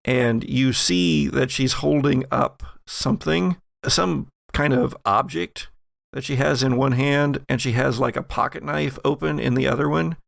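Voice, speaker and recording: Slow, gravelly man narrating horror. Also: mid-range voice, a bit bright, no echo, clean studio sound. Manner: deliberate, dramatic storytelling. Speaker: game master. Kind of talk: narrating a horror tabletop role-playing game.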